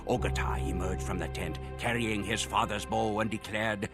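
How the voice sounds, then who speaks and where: A man narrating a war campaign in solemn, measured scripted narration for game cutscenes. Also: on the low side, vocal fry. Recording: game cutscene audio with loud music.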